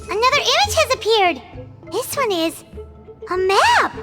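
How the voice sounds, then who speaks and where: Tiny floating fairy companion: childlike, curious, extremely high-pitched squeak, strong music underneath. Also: female voice, sing-song, measured pace, full character voice. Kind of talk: video game voice acting.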